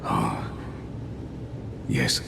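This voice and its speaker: A man's voice: extremely slow, noisy background. A man voicing a humble, guilt-laden household servant in a game, formal, deferential and hesitant as he confesses. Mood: humble, remorseful. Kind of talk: dramatic game voice acting. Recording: long hall reverb, loud music.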